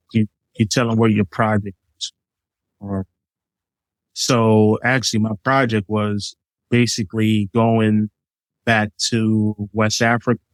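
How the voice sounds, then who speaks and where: A man's voice: fairly low pitch, no echo, rough recording. A middle-aged man from Liberia, a chef talking through his food with plenty of examples and fillers. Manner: easygoing, explains with examples, many fillers. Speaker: chef, guest. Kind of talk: casual interview talk.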